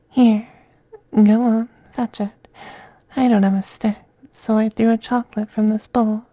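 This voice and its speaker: A man playing a possessive, teasing femboy vampire in an intimate ASMR roleplay, speaking softly and breathily, often in a whisper, with coaxing, condescending tenderness. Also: sits high, little pitch movement, slow pace, long pauses, no room sound. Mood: playful, taunting.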